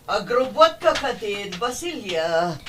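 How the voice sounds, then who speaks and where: Woman as lively, swooping lady of the house. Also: mid-range voice, slow pace, slight background noise. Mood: easygoing, generous. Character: commanding but generous lady of the house. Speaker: voice actress. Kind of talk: Ilocano comedy drama acting.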